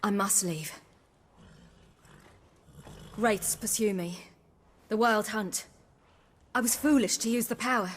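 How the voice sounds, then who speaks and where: A woman's voice: mid-range voice, takes it slowly, pauses often, long reverb tail. An actress playing a young warrior woman on the run in a video game, resolute, guarded and brave. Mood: grave, urgent. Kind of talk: dramatic video game acting.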